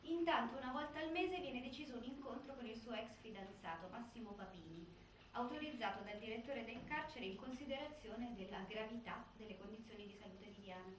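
An actress as a sober narrator, giving formal, factual narration in a stage play. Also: female voice, a little high, brisk, lightly acted. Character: sober female narrator of official events. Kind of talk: scripted theatrical narration. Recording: very echoey, distant, clear noise.

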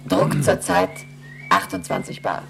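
A woman playing an emotionless moon station computer in a radio play, reciting in a formal monotone. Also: a bass voice, measured pace, creaky, soft background music, heavily acted. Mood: impassive. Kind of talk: German radio play acting.

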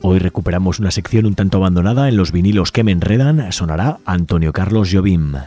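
Deep-voiced, mellow radio host. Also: male voice, fast. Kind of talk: community radio program presenting. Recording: faint music bed behind.

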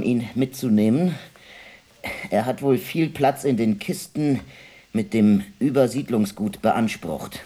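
An actor playing a reflective man who recalls his childhood in a halting, musing way in a radio play. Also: medium pitch, warm tone. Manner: halting, musing, self-correcting. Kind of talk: radio play monologue, reminiscing.

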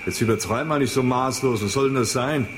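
A man's voice: medium pitch, fairly quick, noisy background, lightly acted. An actor in a German radio play as a brash, money-minded businessman with a flippant, mocking, colloquial way of talking. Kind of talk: German radio drama acting.

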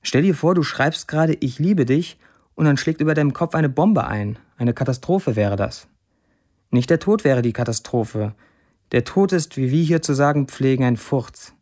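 An actor playing a brash young Syrian writer, delivering an intimate first-person monologue in a radio drama. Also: male voice, medium pitch, expressive, normal speed, a little mellow, close to an everyday voice. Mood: wry, dark. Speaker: lead actor reading a writer's status posts.

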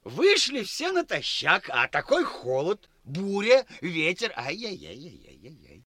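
Fussy village cop in old radio play, high bright voice, blustery, repeats himself. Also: male voice, wildly swooping pitch, slow, dry recording, heavily acted. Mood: wheedling, fussy. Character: flustered, officious, dim-witted village policeman. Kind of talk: 1960s Soviet radio drama acting.

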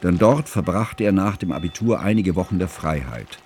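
An actor playing a scholarly, reflective narrator who recalls his friend in measured, bookish literary radio drama narration. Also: deep voice, lively intonation, a little mellow, faint music behind. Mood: fond, reminiscing.

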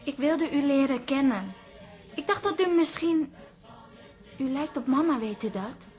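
An actress playing a blunt, cheeky teenage granddaughter, hurt and defiant, in a radio drama. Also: female voice, sits high, expressive, unhurried, a little mellow, soft background music. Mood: tentative.

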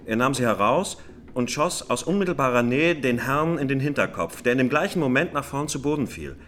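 An actor playing a plain-spoken, agitated eyewitness, vividly retelling events as courtroom testimony in a radio drama. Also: male voice, medium pitch, lively intonation, fairly quick, a bit bright, a little hiss or hum, close to an everyday voice. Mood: matter-of-fact, vivid. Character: male eyewitness, plain-spoken, agitated, recounting a street arrest.

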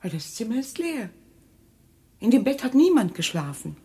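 A woman's voice: fairly high pitch, very animated, normal speed, warm tone. An actress playing a pious, alarmed woman of the household in a German radio drama.

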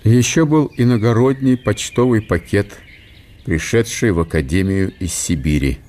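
A man's voice: on the low side, moderate pace, a little mellow. A man reading scripted literary narration for a radio drama.

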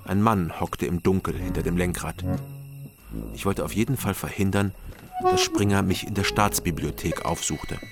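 A watchful, brooding investigator narrating a radio crime story. His very deep voice is sober and terse, set over clearly heard music. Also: fairly even intonation, moderate pace. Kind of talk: first-person radio crime drama narration.

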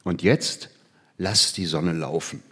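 An actor playing an enthusiastic mathematics teacher, declamatory and didactic, in a theatrical drama. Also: male voice, deep voice, slow, a bit bright, breathy edge, exaggerated voice. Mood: instructive. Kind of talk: theatrical scripted performance.

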